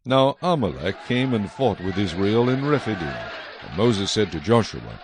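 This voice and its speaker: A man narrating scripture from a script as a solemn, measured storyteller. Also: lowish voice, big pitch swings, noisy background.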